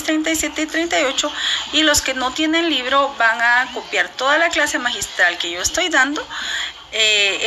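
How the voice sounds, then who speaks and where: Bright-voiced woman teaching. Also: sits high, a little hiss or hum. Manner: clear, instructive, repeats key words slowly. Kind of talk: recorded class lesson.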